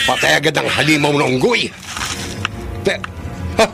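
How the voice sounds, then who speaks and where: A man's voice: on the high side, very animated, slow pace, gravelly, loud music behind the voice, exaggerated voice. An actor with a Filipino accent playing a defiant, protective grown son in a horror radio drama, declaiming his actions aloud. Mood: frantic. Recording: very noisy background ambience.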